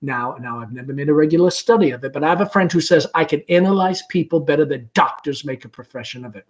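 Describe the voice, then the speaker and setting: A confident young man in a stage play, read aloud by a man. He speaks in brisk, declarative phrases with a breezy self-assurance. His voice sits a little high. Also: close to an everyday voice. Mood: self-assured. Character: confident, breezy young man who likes analyzing people.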